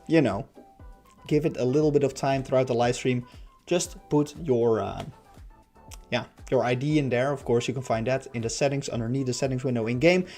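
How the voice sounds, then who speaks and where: A man hosting a casual game livestream, raspy and stuffy-nosed, rambling with many fillers. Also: mid-range voice, warm tone. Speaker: livestream host.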